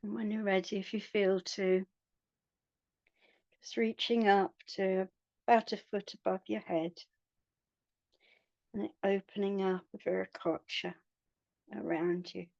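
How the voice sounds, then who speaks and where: Slow, mellow woman guiding a meditation. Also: medium pitch, fairly even intonation, creaky, dry recording, highs cut off. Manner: soothing, hushed, with long pauses. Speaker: meditation guide. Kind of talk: guided meditation.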